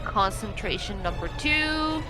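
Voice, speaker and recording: A woman's voice: medium pitch, lively intonation, unhurried. A woman talking casually as a player in a tabletop roleplaying game. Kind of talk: casual chat while playing. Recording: music under the voice. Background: music.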